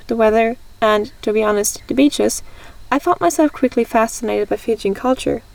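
A woman's voice: fairly high pitch, creaky, darkish tone, no echo. A young woman from Germany hosting a scripted conversation, reading steadily and carefully. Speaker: host. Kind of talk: read-aloud scripted conversation.

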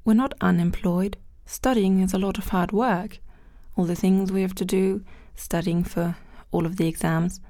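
A woman's voice: medium pitch, steady tone, moderate pace, warm tone, no room sound, clean studio sound. A nineteen-year-old woman, a student guest on a scripted panel, talking in a prim, earnest, disapproving way. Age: teens.

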